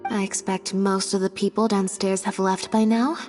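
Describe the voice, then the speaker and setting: A woman's voice: medium pitch, little pitch movement, normal speed, a little mellow, big-room sound, soft background music, natural voice. An actress voicing a reserved, laconic young female magician's assistant in a video game, understated and terse. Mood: subdued. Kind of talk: video game character dialogue.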